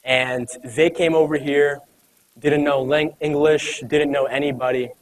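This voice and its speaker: A man's voice: on the high side, fairly even intonation, a little slow, low-quality recording. A man, giving a casual, conversational motivational talk to a live audience, with many fillers. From United States. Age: 20s. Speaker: speaker at an event.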